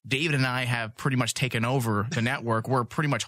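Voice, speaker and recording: A man hosting a casual comedy podcast, joking and self-mocking, with a voice that strains and keeps needing his throat cleared. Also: medium pitch, fairly even intonation, quick pace, bright, forceful tone, no room sound. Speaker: podcast host.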